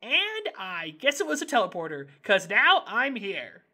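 A woman's voice: mid-range voice, sing-song, a little slow, sharp tone, full character voice. A woman voicing a cheerfully clueless, impulsive, hyperactive young boy in a cartoon comedy, rambling and blurting as the character goes. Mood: excited, rambling. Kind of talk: animated comedy narration and dialogue.

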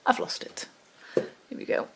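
A woman's voice: measured pace, slight background noise, poor audio. An older Welsh-born woman with a Wirral accent, mixing Welsh and Liverpool sounds, chatting through a craft tutorial at her table, full of ums and asides to herself. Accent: Wirral, mix of Welsh and Liverpool. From Wales. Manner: chatty, many ums, talks to herself while working. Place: home craft table, indoors. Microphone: overhead camera, hands only.

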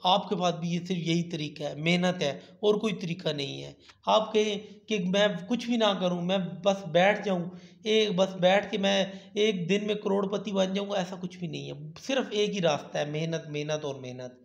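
A man's voice: high-pitched, moderate pace, creaky. A man, talking viewers through a website on screen and often adding "theek hai". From Pakistan. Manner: repeats "theek hai" often, turns persuasive and emphatic toward the end. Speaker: channel host. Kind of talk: screen-recorded tutorial talk. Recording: echoey, dull lo-fi voice-over.

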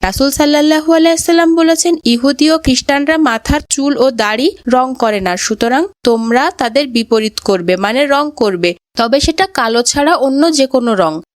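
A woman's voice: high-pitched, moderate pace, few pauses, no echo, clean studio sound, natural voice. A woman voicing an earnest, polite young grandchild in a cartoon moral story. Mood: earnest, instructive.

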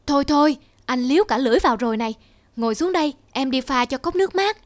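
A woman playing a caring, quietly resolute wife in a cartoon drama, gentle and measured. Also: high voice, animated. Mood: caring, concerned.

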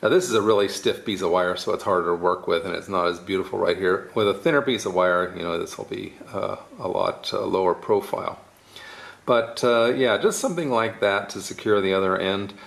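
Male DIY presenter, lowish voice with heavy fry, informal step-by-step explainer. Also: fairly quick, a bit bright. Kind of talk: casual hands-on tutorial explanation.

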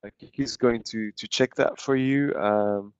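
A man's voice: fairly low pitch, fairly even intonation, a little slow, clean tone, no echo. A man, hosting a live university webinar over a headset, hesitant with many fillers and restarts. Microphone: headset on a video call. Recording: dry, dull lo-fi call audio.

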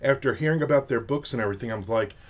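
A man's voice: medium pitch, racing. Jersey guy rambling on a livestream, lots of ums and restarts, kinda dull audio. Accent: New Jersey. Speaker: author hosting a livestream. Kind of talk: casual chat. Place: home office with shelves of collectibles.

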